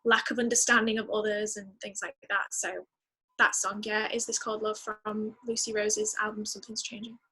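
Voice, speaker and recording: A woman speaking as a podcast guest in a casual interview, chatty and expressive, often exclaiming. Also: medium pitch, normal speed, slightly rough, rough recording.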